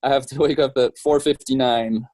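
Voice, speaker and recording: A man in his twenties from France, chatting casually as an interview guest, relaxed and laughing often. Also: mid-range voice, moderate pace, no room sound. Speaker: professional triathlete, guest.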